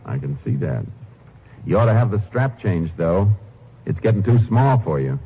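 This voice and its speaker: An actor playing a calm, shrewd Texas Ranger investigator in a measured, laconic 1950s radio drama style. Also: male voice, deep voice, slight background noise, poor audio. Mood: shrewd probing. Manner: measured, probing, deadpan. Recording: old 1950s radio broadcast recording.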